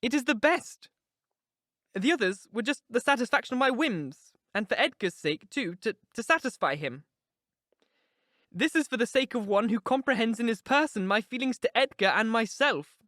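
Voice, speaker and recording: A reader voicing a headstrong young woman, pitched well above the narration and pushing hard on her words. She is impetuous and declamatory, caught up in passion. The recording is dry and close, with no room around it. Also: expressive, normal speed, a bit bright, no echo. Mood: impassioned. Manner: intense, emphatic, declamatory. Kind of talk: audiobook character reading.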